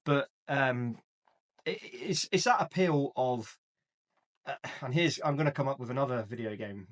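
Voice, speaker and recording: A man, co-hosting a casual film chat, jokey and quick to laugh, swearing casually. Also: medium pitch. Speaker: podcast co-host.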